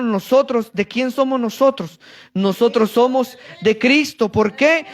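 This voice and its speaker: A man preaching a sermon to a congregation, reading verses and explaining them, calling for answers. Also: very high-pitched. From Central America. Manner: reads scripture then explains, asks congregation for answers.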